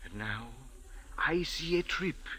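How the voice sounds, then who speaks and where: A man's voice: on the high side, expressive, slow, pushed and bright, heavily acted. An actor playing a humble, pleading male sand diviner in a 1940s radio drama. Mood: mystical.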